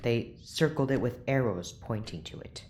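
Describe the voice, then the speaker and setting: An actor playing a weary, irritable scientist in charge, curt and sarcastic, in an audio drama. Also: male voice, medium pitch, lively intonation, normal speed, darkish tone, a little hiss or hum, close to an everyday voice. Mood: weary, exasperated. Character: weary, irritable scientist boss running secret experiments.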